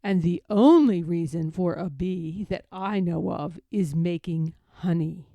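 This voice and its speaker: A woman's voice: fairly low pitch, measured pace, warm tone, no room sound. A woman voicing a small male bear from a storybook, earnest and muddled, musing ponderously in a simple-minded way. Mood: pondering. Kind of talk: storybook character voice.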